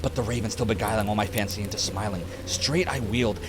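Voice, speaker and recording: A man's voice: fairly low pitch, quick pace, background noise. A man narrating a poem as a dramatic reading, theatrical and melodramatic.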